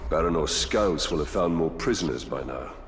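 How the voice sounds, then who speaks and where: A man playing a grave, weary ranger warrior in a video game, speaking in a solemn, measured manner. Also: very deep voice, animated, background noise. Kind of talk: dramatic video game acting.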